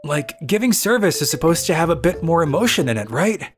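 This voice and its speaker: A man's voice: on the high side, big pitch swings, a little fast, soft background music. A man playing a weary, cynical young office worker who grumbles and narrates his own manga story in a dry, exasperated way. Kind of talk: dubbed manga story narration in first person.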